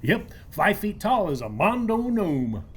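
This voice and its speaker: An actor playing a breezy, slangy handyman in a radio play, full of corny flattery, with a husky voice. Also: medium pitch, unhurried, a little hiss or hum. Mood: breezy, joking. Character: folksy, jokey, slangy male handyman and flatterer.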